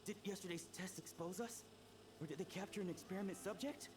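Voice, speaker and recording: An actor playing a nervous young accomplice who asks fretful questions. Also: male voice, high-pitched, fairly quick, natural voice. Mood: anxious. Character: nervous young male accomplice, anxious and hesitant. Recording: game audio with background noise.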